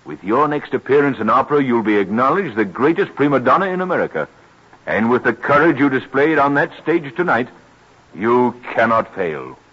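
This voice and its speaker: A man's voice: medium pitch, big pitch swings, normal speed, slight background noise, natural voice. A man playing a gallant, emphatic colonel and reassuring patron in an old-time radio drama. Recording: muffled old radio recording.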